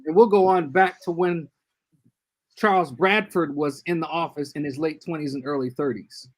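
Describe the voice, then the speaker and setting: An older man, a guest church leader, giving earnest and measured advice in a casual podcast discussion over a video call. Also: on the high side.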